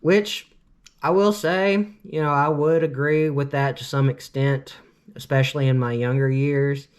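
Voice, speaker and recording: A man's voice: fairly high pitch, fairly even intonation, measured pace, smooth. A man in his late twenties from Cleveland, telling a casual storytime vlog in a rambling, earnest way, with constant filler words. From Cleveland, Ohio, US. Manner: earnest, opinionated. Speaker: vlogger.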